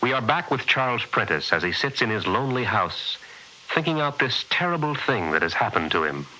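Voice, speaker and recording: A man's voice: medium pitch, sing-song, a little fast. A man announcing a 1960s radio drama in a formal, dramatic narrator's manner. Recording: faintly hissy old radio recording.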